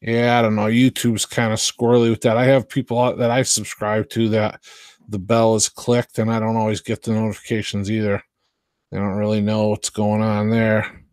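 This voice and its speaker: A man's voice: on the low side, monotone, normal speed, a little mellow, smooth, no room sound. A man hosting a live stream, chatting casually with viewers in a hesitant, unpolished way, often trailing off. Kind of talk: casual rambling chat with viewers.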